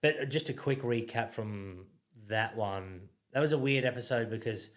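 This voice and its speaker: A man's voice: lowish voice, moderate pace, fairly bright tone. A man hosting a podcast in casual interview chat, joking crudely and laughing often. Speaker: podcast host.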